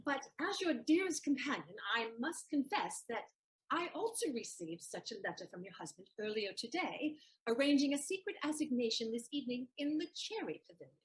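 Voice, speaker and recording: A woman's voice: sits high, very animated, fairly quick, rough recording. A woman playing a shrewd, teasing lady's maid in a stage comedy audio play, wry and knowing. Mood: confiding.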